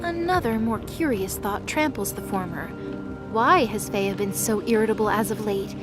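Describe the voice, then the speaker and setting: A woman's voice: mid-range voice, moderate pace. A woman narrator reading a scripted mythological drama as story narration. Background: music.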